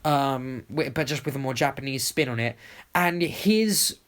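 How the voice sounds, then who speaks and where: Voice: medium pitch, a little slow. A YouTube creator giving a casual, enthusiastic voice-over that rambles along like an informal chat.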